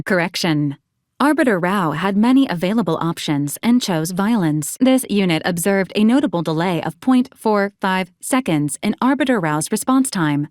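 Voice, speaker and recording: Game robot companion, played by a woman: mid-pitched female voice, clinical, officious, clipped formal delivery, very dry sound. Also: dry recording, natural voice. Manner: clipped, formal, deadpan, machine-like announcements. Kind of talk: scripted video game character lines.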